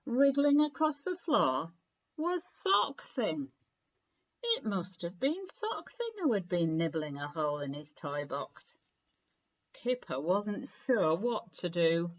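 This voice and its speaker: A woman reading a children's storybook aloud in an expressive, deliberate way, with clear phrasing and dramatic emphasis. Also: fairly low pitch, dull audio. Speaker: story reader.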